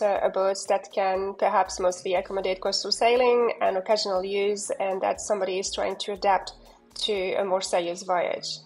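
A woman's voice: medium pitch, darkish tone, poor audio. A woman giving an enthusiastic walkthrough of a sailing yacht as a broker, talking loosely with fillers and restarts. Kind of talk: walkthrough narration.

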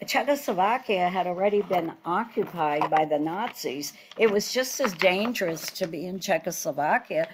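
A woman's voice: fairly low pitch, animated, moderate pace, few pauses, background noise. An older woman whose first language is Hungarian, interviewing a guest over a video call from her home. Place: home living room. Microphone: laptop webcam video call.

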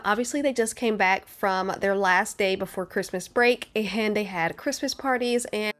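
Woman in her 30s, mid-pitched, chatty, upbeat vlog voice-over. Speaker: homemaking vlogger. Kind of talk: casual voice-over narration.

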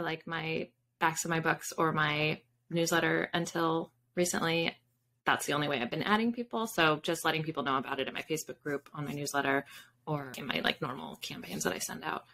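A middle-aged woman with a bright, fairly low voice talks casually as a podcast guest. She is on a video call from a room at home, with faint noise behind her. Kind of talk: casual interview chat. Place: home room with bookshelves, indoors.

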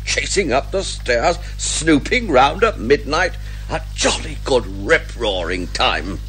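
A man playing a jolly, loyal older gentleman sidekick, hearty and consoling, in a radio comedy drama. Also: mid-range voice, sing-song, slow pace, soft background music. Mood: hearty, wistful.

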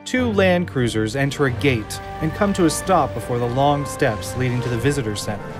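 A man narrating the stage directions of an audio drama in a steady, descriptive reading. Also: medium pitch, normal speed, over music.

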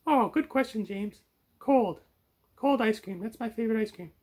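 A very high, squeaky puppet creature for a comedy puppet show. The voice is excitable and strained, with a teasing, gluttonous, cheeky streak. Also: male voice, moderate pace. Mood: playful teasing.

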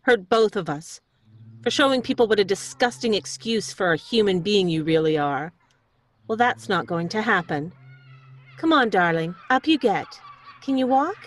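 A woman's voice: medium pitch, expressive, normal speed, close to an everyday voice. A woman playing a fierce, cool-headed rescuer in a radio mystery play. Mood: tender.

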